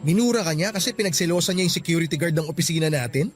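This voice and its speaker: A man acting as a protective young Filipino office guy in a radio drama. His voice sits high and moves quickly, earnest and emphatic as he looks out for his friend. Light music plays underneath. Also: lively intonation, lightly acted. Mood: indignant, protective. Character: caring, protective young male office worker, indignant friend.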